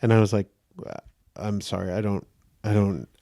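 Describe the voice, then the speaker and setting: A man with a slightly high, gentle voice, telling stories in a casual podcast chat, laughing often and acting out what people said. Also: moderate pace, creaky. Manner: animated storyteller, laughs often, acts out quotes. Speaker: guest actor.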